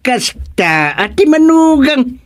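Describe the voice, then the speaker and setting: Animated older Ilocano father-in-law. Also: extremely high pitch, slow pace, exaggerated voice. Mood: jovial. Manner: animated, exclaiming. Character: excitable older father-in-law in village comedy. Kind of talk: Ilocano radio comedy drama acting.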